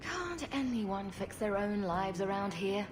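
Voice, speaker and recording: A sarcastic female adventurer from a fantasy game, voiced by a woman. She speaks at a middle pitch with a dry, sardonic edge. Music is audible behind her. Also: animated, a little slow, close to an everyday voice.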